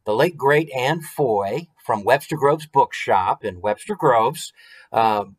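A man in his forties with an American accent, hosting a story time in an expressive, conversational way with chatty asides. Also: mid-range voice, slow, slightly rough. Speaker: author reading his picture book. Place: small room at home, indoors.

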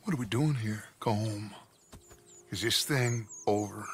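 An actor playing a proud, brooding American outlaw gang leader in a Western game, with a gravelly voice. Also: male voice, fairly low pitch, measured pace. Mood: guarded. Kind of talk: game cutscene dialogue. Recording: game scene with background noise.

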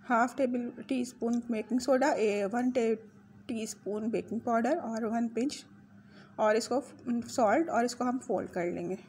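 A woman's voice: a little high, unhurried, a little mellow, a little hiss or hum. A woman hosting a home cooking video, chatting her way through a recipe and correcting her own measurements as she goes. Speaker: home cooking channel host. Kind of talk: casual recipe walkthrough. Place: home kitchen.

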